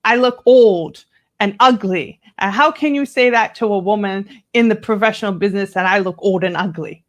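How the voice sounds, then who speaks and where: A woman from the United States, a guest English coach, telling workplace stories in an animated way and acting out the quoted lines in a higher voice. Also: normal speed. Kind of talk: conversational storytelling interview.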